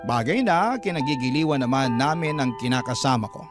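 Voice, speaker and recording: A man's voice: medium pitch, expressive, fairly quick. A man with a Filipino accent narrating a scripted first-person story as a radio storyteller. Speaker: radio storyteller reading a listener's letter. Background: prominent guitar music.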